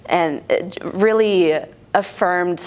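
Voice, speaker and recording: Slow, soft-pitched young woman actress. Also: clean tone. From Tucson, Arizona, US. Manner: hesitant, many fillers and restarts, laughs often. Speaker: actress guest. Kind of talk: casual interview talk.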